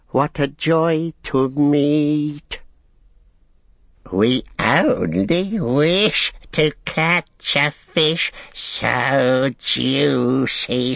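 A man voicing a wretched, sly cave creature for a storybook, with a strained, croaking voice and drawn-out, sing-song speech. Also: on the low side, no room sound, exaggerated voice.

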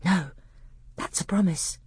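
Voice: medium pitch, measured pace, darkish tone, breathy edge, no room sound, voice pushed up. A storybook reader voicing a polite young boy prince with an earnest, eager manner. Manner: earnest, eager, awestruck questioning. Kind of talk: audiobook character voice.

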